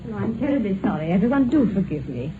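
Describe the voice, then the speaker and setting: A woman's voice: medium pitch, very animated, fast, natural voice. An actress playing a genteel upper-class society lady, polite and anxious, in a 1950s radio drama. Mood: effusive politeness. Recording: noisy, tinny 1950s radio broadcast.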